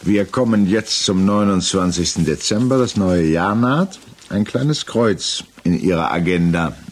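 An actor playing a stern, methodical examining magistrate in a 1960s German radio play, speaking formally, precisely and insistently. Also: male voice, sits low, lively intonation, measured pace. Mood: probing, pressing. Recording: noisy 1960s mono radio recording.

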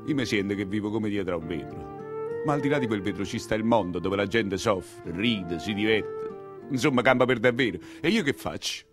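A man's voice: lowish voice, expressive, moderate pace, over music, close to an everyday voice. A man playing a frustrated son pleading for freedom, impassioned and repetitive in a theatrical radio monologue. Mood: anguished, pleading. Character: yearning, frustrated son pleading with his father to live freely.

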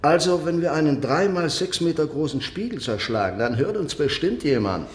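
Higher-voiced, practical robber. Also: male voice, lively intonation, moderate pace, breathy edge. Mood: practical, wary. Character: resourceful male robber, practical schemer. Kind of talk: crime radio drama acting. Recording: faint music behind the voice.

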